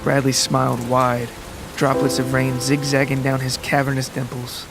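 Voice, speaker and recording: A man narrating a scripted literary story in a measured, deliberate way. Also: mid-range voice, completely flat delivery, gravelly. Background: music and rain.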